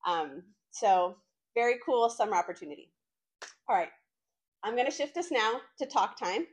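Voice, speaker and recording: A woman's voice: fairly high pitch, expressive, normal speed. A woman in her late thirties from North Carolina, chatting informally to college students in a faith talk. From North Carolina, United States. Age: late 30s. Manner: chatty and conversational, frequent "like" asides. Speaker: campus ministry speaker.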